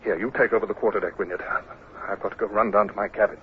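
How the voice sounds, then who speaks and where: A low-pitched British naval captain of the Napoleonic era, played by a male actor, anxious yet decisive. He speaks in clipped orders and hesitant asides. The old radio drama recording is dull and full of noise. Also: steady tone, very fast, lightly acted. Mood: flustered, brisk.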